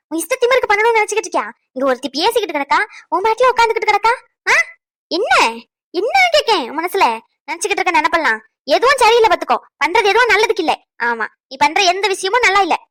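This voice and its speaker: A woman's voice: very high voice, pushed and bright, no echo, clean studio sound. A woman voicing a middle-aged mother who nags and lectures sharply in a family skit.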